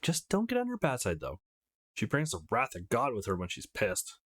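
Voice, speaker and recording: Brash, cheeky teen girl played by a man, crude swagger, very animated pitch, visual novel character. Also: moderate pace. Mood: enthusiastic. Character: brash, foul-mouthed redheaded teenage girl, teasing and bragging. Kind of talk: reading visual novel dialogue aloud.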